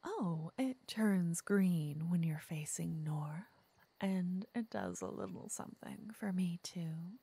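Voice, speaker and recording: A woman playing a flirty, teasing witch in an ASMR roleplay, softly and often in whispers, intimate and coaxing. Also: fairly low pitch, slow pace, dry recording, exaggerated voice. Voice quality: soft, breathy, often whispering. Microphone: close microphone.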